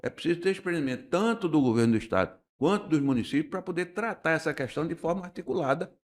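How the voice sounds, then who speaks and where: An older man from Recife with a Pernambuco accent, a guest architect and urban planner, explaining at length in a radio interview with frequent pauses and restarts. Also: fairly high pitch, expressive. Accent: Pernambuco Portuguese. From Recife, Brazil. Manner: measured, explanatory, hesitant with restarts.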